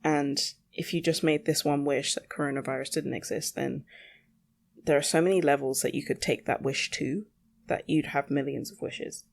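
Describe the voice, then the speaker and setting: A young adult podcast host with a creaky voice, rambling casually alone in a blunt, sweary, self-questioning way. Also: medium pitch, fairly even intonation, moderate pace, a little hiss or hum. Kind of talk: casual solo rambling monologue.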